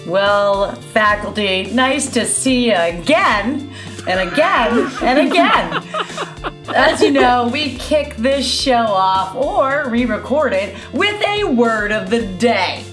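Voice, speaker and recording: Woman, middle-aged, fairly high voice, wry playful quizmaster, casual, light music behind. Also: lively intonation, slow pace. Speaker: panelist leading a word quiz. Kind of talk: casual comedic game chat. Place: room at home, video call.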